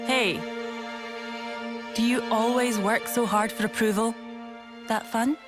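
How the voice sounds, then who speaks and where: A woman voicing a cool, cynical, teasing young female soldier in a video game, with a deliberate, probing way of talking. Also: on the high side, fairly even intonation. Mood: knowing, probing. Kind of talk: video game cutscene voice acting. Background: loud background music.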